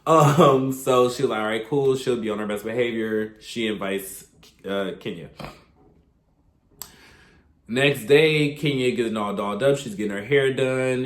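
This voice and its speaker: Congested male recap vlogger, mid pitch, chatty and opinionated, roomy echo. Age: adult, past his thirties. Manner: chatty, opinionated, animated with sudden high exclamations. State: congested from a cold. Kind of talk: casual recap commentary.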